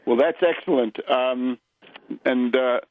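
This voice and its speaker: An American man hosting a political radio show, speaking in a measured, somewhat halting way. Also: mid-range voice, narrow, tinny audio, slight background noise. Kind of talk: political radio interview and commentary.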